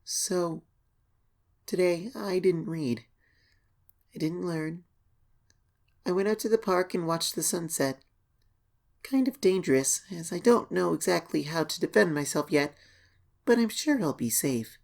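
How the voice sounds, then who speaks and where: A woman voicing an anxious young pony filly, reading her diary as an expressive, hesitant horror story narration. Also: fairly low pitch, a little slow, vocal fry, pauses often. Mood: worried. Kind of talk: dramatic first-person horror story reading.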